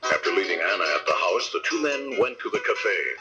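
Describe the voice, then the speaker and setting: An actor narrating a western tale in scripted old-time radio drama style. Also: male voice, sits low, very animated, a little fast. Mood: storytelling. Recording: dull vintage radio recording. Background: music.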